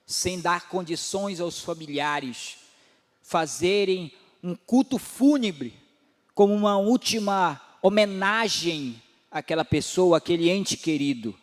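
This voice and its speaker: A man in his forties preaching a sermon as pastor, building to emphatic rises and dropping low again. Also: expressive, takes it slowly. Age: 40s. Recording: long hall reverb.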